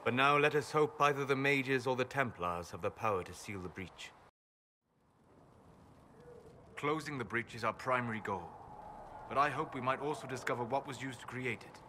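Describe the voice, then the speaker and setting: An actor voicing a calm, reserved elven apostate mage in a video game, speaking in a measured, formal, thoughtful way. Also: male voice, medium pitch, close to an everyday voice. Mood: grave, measured. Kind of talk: video game dialogue acting. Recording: clear ambient noise behind game dialogue.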